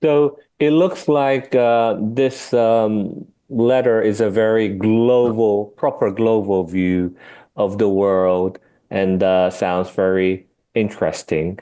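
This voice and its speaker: A male interviewer with a lowish, mellow voice. He asks polite, encouraging questions and leans on fillers as he goes. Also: fairly even intonation, slow, smooth. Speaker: interview host.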